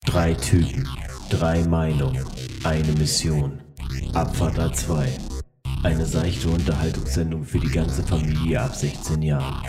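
Casting: a man playing a deep, flat, solemn trailer announcer over synth music, roomy sound. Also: a little slow. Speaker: show intro announcer. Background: electronic synthesizer music.

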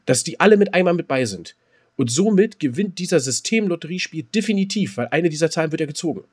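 Husky man, casual podcast co-host. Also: on the high side, animated, quick pace. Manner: colloquial, teasing banter. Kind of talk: casual chat between two friends.

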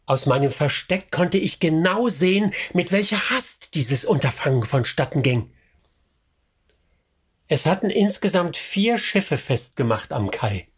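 A man narrating a radio drama in the first person, measured and reflective. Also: fairly high pitch, lively intonation, breathy edge. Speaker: narrator character.

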